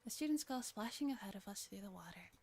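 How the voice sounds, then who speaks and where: A woman reads a story aloud in a soft-spoken ASMR style, gently and with an occasional stumble. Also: mid-range voice, lively intonation, fast talker. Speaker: streamer reading a visual novel aloud.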